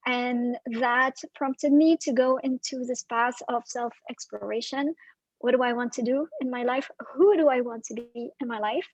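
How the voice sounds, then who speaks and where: A woman in her forties from Syria, a life and career coach, speaking reflectively as an interview guest with frequent pauses and fillers. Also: a little high, fairly even intonation, dry recording, highs cut off. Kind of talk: guest in casual video interview.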